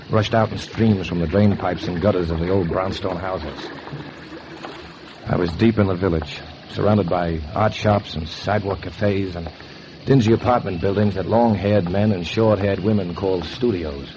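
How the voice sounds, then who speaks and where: A man's voice: sits low, natural voice. An actor playing a down-on-his-luck painter who narrates in wry, hard-boiled 1940s radio noir style. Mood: brooding. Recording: noisy, muffled 1940s radio recording.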